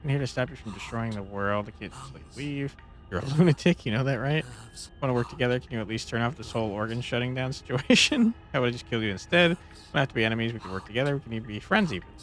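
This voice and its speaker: A man's voice: medium pitch, expressive, very fast, fairly bright tone. A man giving casual let's play commentary as a game streamer, with a wry, joking manner. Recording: faint game music behind. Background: video game music and dialogue.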